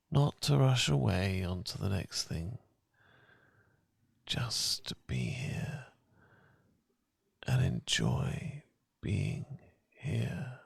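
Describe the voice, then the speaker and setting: A British man murmurs as a loving, comforting boyfriend in a romantic ASMR relaxation. His low voice is heavily creaky and husky, often slipping into a whisper. He speaks slowly and tenderly, very close to the microphone. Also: dry recording. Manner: gentle, tender, unhurried, soothing. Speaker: ASMR audio performer. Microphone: close microphone, dry.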